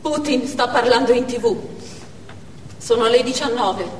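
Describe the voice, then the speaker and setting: A woman's voice: a little high, a little slow, a little hiss or hum, natural voice. An actress on stage playing a stern, commanding Chechen woman militant in a dramatic monologue. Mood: cold, grim. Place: theatre stage. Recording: echoey theatre hall from far off.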